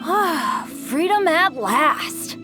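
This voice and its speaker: A woman voicing a plucky, good-natured teenage boy adventurer in a video game, earnest and expressive. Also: high voice, extremely slow, heavily acted. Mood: relieved, easygoing. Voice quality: youthful, boyish. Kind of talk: animated video game voice acting. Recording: game music behind the voice.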